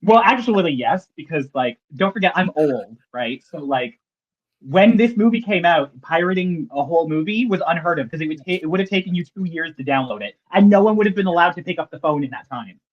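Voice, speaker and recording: A man's voice: a little high, animated, brisk, muffled recording. A man hosting a podcast, chatting casually with a dry, self-deprecating wit. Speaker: podcast host.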